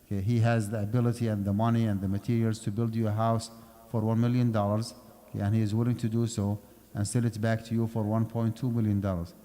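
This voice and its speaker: A man's voice: fairly low pitch, little pitch movement, fast talker, mellow. A man giving a conversational lecture on Islamic finance in a mosque, explaining step by step and checking in with his listeners. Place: mosque prayer hall. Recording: long hall reverb.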